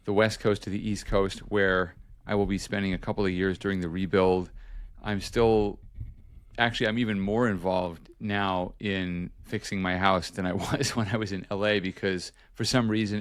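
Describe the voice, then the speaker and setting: A man with a fairly low voice hosts a podcast, running through news updates in a relaxed, conversational way. He corrects himself as he goes and keeps the talk informal. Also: moderate pace, clear, resonant tone. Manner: conversational, self-correcting, chatty. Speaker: podcast host and journalist. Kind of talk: casual news chat.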